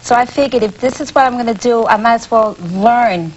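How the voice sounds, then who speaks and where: A woman's voice: a little high, fairly quick. A woman guest who works in emergency medicine, answering casually and personally in an interview. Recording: dull, faintly noisy old tape.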